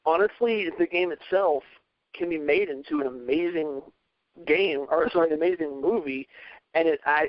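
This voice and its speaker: A man's voice: fairly high pitch, no room sound. A man giving his opinions in a casual panel chat, earnest and emphatic. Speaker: podcast panelist. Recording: slightly dull, lo-fi audio.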